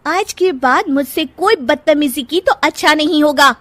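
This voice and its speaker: A woman voicing a defiant, sharp-tongued young daughter-in-law in a cartoon story, indignant and argumentative. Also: high voice, unhurried, no echo, pitched above the performer's own voice. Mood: threatening.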